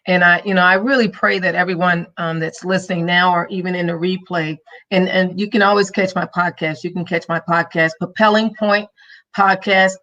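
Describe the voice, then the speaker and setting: A woman's voice: lowish voice, steady tone, normal speed, sharp tone, lo-fi sound. Emphatic preacher-style woman podcast host. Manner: preachy and emphatic, faith-filled motivational cadence. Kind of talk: live-streamed motivational talk.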